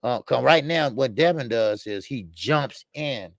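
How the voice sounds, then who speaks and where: A man hosts a call-in sports show with a gravelly, creaky voice at an average pitch. His talk is informal and familiar, full of slang. The sound is close and dry, with little room in it. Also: animated, unhurried, dry recording. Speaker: host of a boxing call-in show. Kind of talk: casual sports talk with callers.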